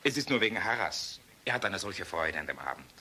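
A tired, earnest fighter pilot in a radio drama. His voice is deep yet very bright and tense, subdued and tender, with faint noise behind it. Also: very animated, fairly quick, full character voice. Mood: tender, weary. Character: weary, gentle, earnest young fighter pilot husband. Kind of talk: intimate radio play acting.

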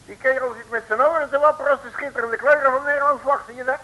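An actor playing a cocky, slangy streetwise detective in an early 1960s comic radio serial, blustering and emphatic. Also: male voice, extremely high pitch, steady tone, fast, lo-fi sound. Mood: indignant. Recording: old radio broadcast recording.

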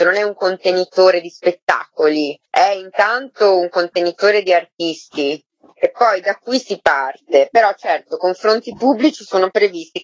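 A woman being interviewed on radio, thinking aloud in a halting, reflective way and often adding tag questions. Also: fairly low pitch, no room sound, highs cut off. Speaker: interviewed artistic coordinator of a theatre artists' project.

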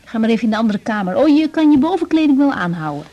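An actress playing a brisk, composed young doctor in a naturalistic radio drama. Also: female voice, fairly high pitch, big pitch swings, mellow, highs cut off. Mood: calm, professional. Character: young female doctor, brisk, composed, weary of family trouble. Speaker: general practitioner in a radio serial.

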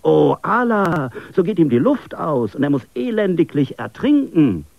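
A man voicing a small, excitable man who frets loudly and gushes over his friend. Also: a little slow, vocal fry, slightly rough, no room sound, narrow, tinny audio, exaggerated voice. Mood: panicked. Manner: shrill, frantic, effusive.

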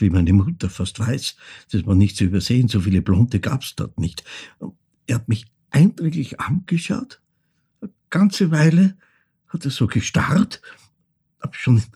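An actor with an Austrian accent playing a haunted older man who recalls his childhood in a halting, intense dramatic monologue, his voice husky and gravelly. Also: medium pitch, dark tone, full character voice. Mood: agitated, awed. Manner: halting, intense, dropping into near-whispers. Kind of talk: dramatic first-person literary monologue.

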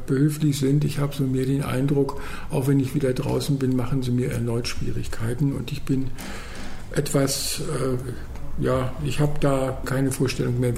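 Male lawyer, interview bite: gravelly, flat delivery, hesitant, a faint hiss of noise behind him. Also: medium pitch. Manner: halting, with many fillers. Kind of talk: documentary interview.

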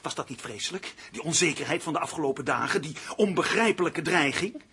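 A man's voice: on the high side, lively intonation, fairly quick, slightly rough, full character voice. An actor playing a bitter, vengeful male writer in a Dutch radio play, with theatrical, intense delivery. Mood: hateful, gloating. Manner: theatrical, intense monologue swinging from hushed to shouting. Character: bitter, vengeful male writer turned murderous, domineered by partner. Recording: noisy old radio play recording.

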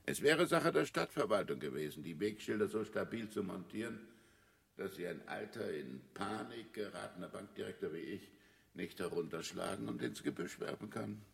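A man playing an old, resigned bank director in an absurdist radio play, speaking tersely and formally in a gravelly voice. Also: on the low side, lively intonation, normal speed. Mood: stubborn, formal. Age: older.

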